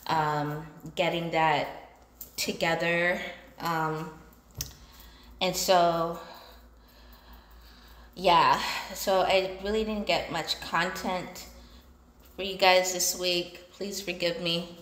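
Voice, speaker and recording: A woman in her thirties chatting casually to camera in unhurried, short phrases with pauses, recorded on a phone in a large indoor room. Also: on the low side, a bit bright. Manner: unhurried, pausing between short phrases while busy with chores. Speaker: solo entrepreneur and vlogger. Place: large indoor basement-like room with exposed pipes. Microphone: phone propped at about arm's length or more. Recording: echoey room.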